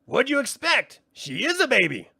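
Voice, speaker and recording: Male narrator doing high, scolding little brownie for a storybook reading. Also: huge pitch swings, normal speed, vocal fry, sharp tone, slightly rough, no echo, clean studio sound, heavily acted. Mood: scornful. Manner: scolding, pointed. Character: small mischievous male brownie, teasing and sharp-tongued.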